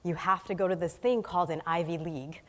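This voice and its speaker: A woman's voice: on the low side, fast talker, noisy background. A woman born in Haiti giving an earnest, storytelling keynote speech on stage, listing her points emphatically. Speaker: guest speaker. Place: large hall on stage. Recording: long hall reverb.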